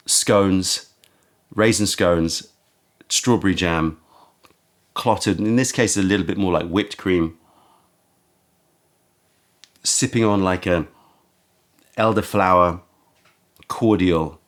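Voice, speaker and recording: A man hosting a casual tea tasting, his voice croaky from having just woken up. He talks slowly and musingly, often stopping to search for the right word. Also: low voice. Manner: slow, musing, searching for words. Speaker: tea shop host.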